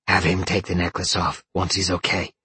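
A man voicing blunt, confident rebels in a fantasy story, wry and matter-of-fact, with a husky voice. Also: low voice, little pitch movement, normal speed, sharp tone, no echo, heavily acted.

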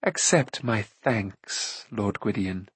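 A man's voice: lowish voice, expressive, takes it slowly, fairly bright tone, husky, no echo, heavily acted. A man voicing an imperious, cunning and cruel enchantress in an audiobook, with haughty, formal, commanding speech. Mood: silky, subtle. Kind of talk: audiobook character acting.

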